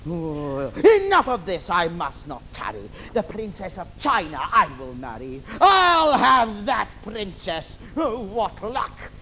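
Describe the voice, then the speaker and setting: A scheming, camp old pantomime villain, declaiming with theatrical relish. The voice sits very high for a male and swoops widely. It is caught live on a theatre stage with some noise. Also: sing-song, slow pace, few pauses, pushed and bright, exaggerated voice, rough recording. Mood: gloating. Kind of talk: adult pantomime stage acting with rhyming verse and innuendo.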